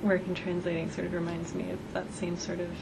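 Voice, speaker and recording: A woman's voice: fairly low pitch, monotone, a little fast, vocal fry. A woman interviewer offering a thoughtful reflection, hedging often with "sort of". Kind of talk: reflective conversation. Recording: loud background noise.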